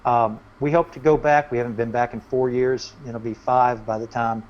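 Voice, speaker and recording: A man's voice: medium pitch, steady tone, moderate pace. A man speaking as a country musician in a casual interview, explaining things in a rambling way. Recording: muffled, lo-fi audio with faint noise.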